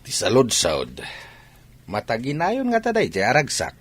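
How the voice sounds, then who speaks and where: A man playing an older Ilocano village father, curious and doubting, with a gruff, husky voice in a radio drama. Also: medium pitch, wildly swooping pitch, moderate pace. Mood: musing.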